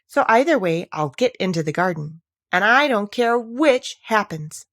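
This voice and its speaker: Woman voicing a curious little girl, expressive and lilting. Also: medium pitch, measured pace. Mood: determined. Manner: self-scolding, musing aloud. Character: curious, polite little girl talking to herself.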